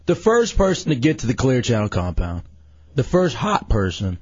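A man's voice: medium pitch, very animated, normal speed, darkish tone, husky, lo-fi sound. A man co-hosting a radio show with a sick, worn voice, joking and rambling in casual banter. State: sick, losing his voice.

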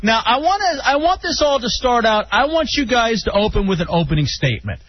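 Male talk host, high lively teasing delivery, muffled radio sound. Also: a little fast. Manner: animated, teasing moderator. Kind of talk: comedic radio talk show.

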